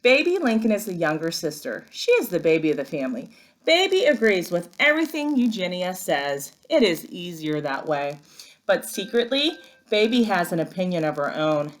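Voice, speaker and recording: A woman working as a first-grade teacher, giving an expressive, instructive read-aloud lesson for young children. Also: lowish voice, moderate pace.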